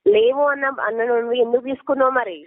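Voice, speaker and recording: A high-pitched woman speaks as a debt collector, firing off demands quickly and confrontationally. She scolds and insists without softening. The sound is a dry, narrow telephone recording. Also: fairly even intonation, dry recording. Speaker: loan recovery agent on a phone call. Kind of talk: debt collection phone call. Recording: muffled, narrow-band telephone line recording.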